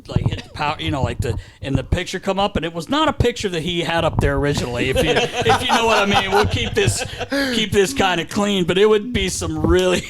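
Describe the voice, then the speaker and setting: A man with a somewhat high voice, chatting as a podcast co-host. His storytelling is loose and slangy and he often repeats himself. Chatter and laughter can be heard around him. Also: expressive, a little fast. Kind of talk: casual chat, animated storytelling. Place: podcast studio.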